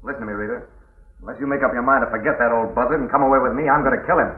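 A man's voice: mid-range voice, racing, close to an everyday voice. A man acting in a 1940s radio drama as an intense, possessive secret lover, menacing and insistent in manner. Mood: threatening. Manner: insistent, pressing. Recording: tinny, noisy, echoey vintage radio recording.

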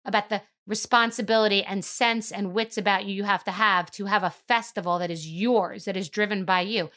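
A woman's voice: medium pitch, bright, forceful tone. A woman hosting a podcast, talking emphatically in a casual monologue and rising to incredulous outbursts. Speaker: podcast host.

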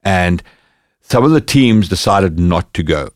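A man from Zimbabwe with a Zimbabwean accent, a former bodyguard telling stories as a podcast guest in casual, animated chat. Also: low voice, unhurried, no room sound, studio quality. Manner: animated anecdotes, lively quoted dialogue. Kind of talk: casual storytelling.

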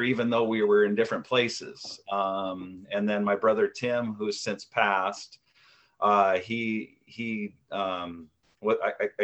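A man in his fifties chatting as an interview guest, humble and self-deprecating, with many fillers and restarts. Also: lowish voice. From United States. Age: 50s. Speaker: guest, author and video creator. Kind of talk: conversational interview answers.

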